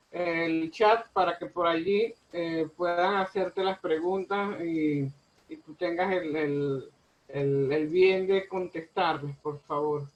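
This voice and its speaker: A man from Venezuela moderating a virtual conference, courteous and halting, often repeating words. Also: high-pitched. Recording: dull lo-fi audio.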